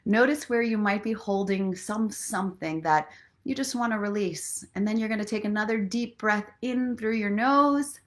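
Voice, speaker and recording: A woman hosts an informal live stream of inspiration and relaxation. Her voice sits at an average pitch. She talks expressively and encouragingly, with an earnest, playful manner. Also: moderate pace. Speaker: host of a live online story and inspiration show. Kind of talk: casual live chat mixed with guided relaxation and motivational talk.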